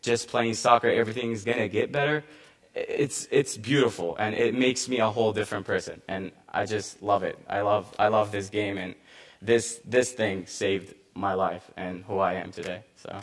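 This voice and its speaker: Young man, earnest, halting, restarts, lower pitch. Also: steady tone. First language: Arabic. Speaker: panel speaker, refugee volunteer. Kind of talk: personal testimony at a conference.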